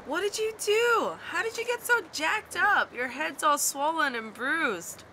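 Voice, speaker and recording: A woman's voice: extremely high pitch, expressive, measured pace, sharp tone, a little hiss or hum, full character voice. A woman playing a practical, wry young lighthouse keeper and inventor, narrating and speaking in an audio drama in a conversational way. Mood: startled, worried.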